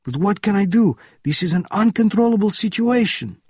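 A flustered army captain in an audiobook thriller, voiced by a male reader, protesting helplessly. The voice is raised high and agitated, husky and very animated, recorded dry. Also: normal speed, no echo.

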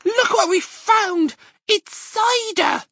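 An excitable little fox cub squeals in a very high, bright voice. He talks slowly and gets breathless with glee. A storybook figure. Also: heavily acted. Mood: thrilled. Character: excitable small young male fox cub.

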